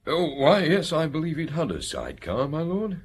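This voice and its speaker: English manservant, deferential, hesitant, slightly rough voice, lively pitch, radio play. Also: male voice, normal speed, heavily acted. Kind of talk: British radio drama acting.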